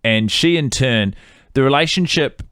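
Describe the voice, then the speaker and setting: A man's voice: mid-range voice, animated, normal speed, a bit bright, clean tone, no room sound, clean studio sound. A man, co-hosting a casual film-review podcast and rambling with fillers and restarts. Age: 30s. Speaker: podcast co-host.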